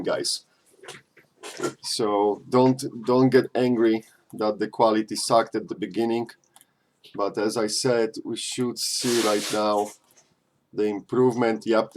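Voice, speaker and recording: A man from Poland, hosting a casual live-stream Q&A and answering chat questions in a loose, chatty way full of fillers. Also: mid-range voice. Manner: loose and chatty, frequent "uh" and "you know", slightly non-native phrasing.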